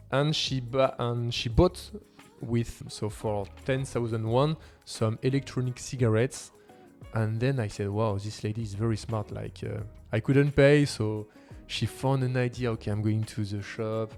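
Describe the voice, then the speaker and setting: A man's voice: mid-range voice, darkish tone. A man from France, a native French speaker with a French accent, talking casually as host of a language-learning video in non-native English phrasing. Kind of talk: casual talk to camera.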